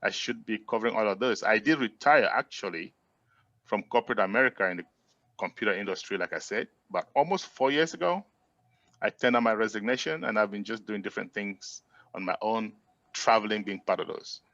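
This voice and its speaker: Man, middle-aged, interview guest, relaxed and friendly, dry sound, muffled video call audio. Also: fairly low pitch, brisk, no echo. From Cameroon. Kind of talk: casual interview.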